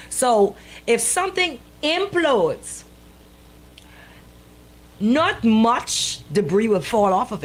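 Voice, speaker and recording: A woman co-hosting a radio talk show in casual, opinionated banter, speaking emphatically in colloquial phrasing and often repeating her points. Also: fairly high pitch.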